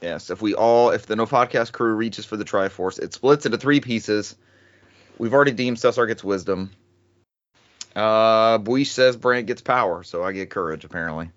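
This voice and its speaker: Low-voiced man hosting, dry and slightly dull. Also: moderate pace, dry recording. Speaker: podcast host. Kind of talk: casual joking banter on a gaming podcast.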